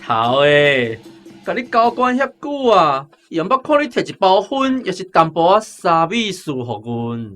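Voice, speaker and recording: An actor playing a hot-tempered, cheeky young soldier in a radio drama, blunt and cocky. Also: male voice, high voice, very animated, unhurried, fairly bright tone, soft background music, full character voice. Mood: teasing. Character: hot-tempered, cheeky young male army conscript on errands. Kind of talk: Taiwanese-language radio drama acting.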